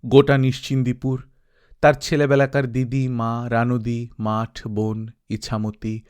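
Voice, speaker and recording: A man narrating a literary story as an audiobook, reading expressively and reflectively with dramatic pauses. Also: mid-range voice, monotone, darkish tone, smooth.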